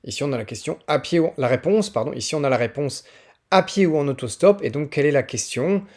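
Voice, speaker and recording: A man's voice: on the high side, expressive, fast talker, a little mellow. A man from northern France with a French accent presents teaching resources in an informal, explanatory screen tutorial, often hesitating as he thinks aloud.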